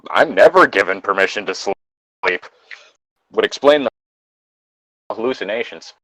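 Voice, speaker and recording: A man co-hosting a podcast, reading aloud and joking casually in a wry, halting way on a slightly dull microphone. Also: fairly low pitch, lively intonation, pushed and bright, no room sound. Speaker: podcast co-host reading fan fiction aloud.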